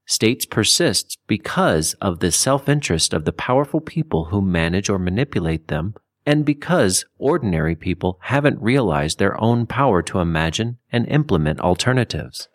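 A man reading scripted nonfiction for an audiobook, with deliberate phrasing. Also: fairly low pitch, sing-song, no echo, clean studio sound. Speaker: audiobook narrator.

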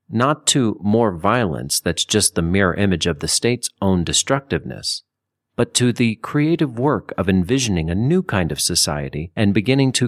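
A man reading a nonfiction book as an audiobook narrator, with measured, deliberate phrasing and emphasis. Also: lowish voice, no echo, studio quality.

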